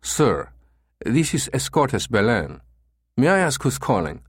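A man's voice: lowish voice, very animated, moderate pace, no echo, clean studio sound. A man voicing a careful, polite businessman in an audiobook story, speaking in a formal and guarded manner. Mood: guarded, cool. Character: careful, smooth Spanish escort agency manager, a man.

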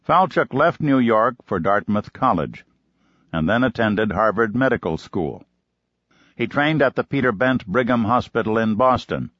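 A man reading a nonfiction book aloud in a measured, even manner as an audiobook narrator. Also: on the low side, dry recording, dull audio.

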